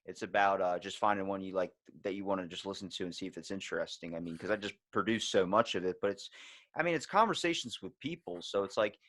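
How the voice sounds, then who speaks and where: A man with a fairly low voice chats casually as a podcast host. He rambles loosely, uses plenty of slang and swears freely. The sound is dry, with very little room in it. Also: fast, fairly bright tone, dry recording.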